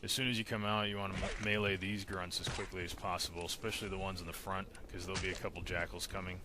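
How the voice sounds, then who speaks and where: A man's voice: sits low, level tone, fast talker, very noisy. A man giving plain, step-by-step commentary for a video game walkthrough. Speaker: walkthrough narrator.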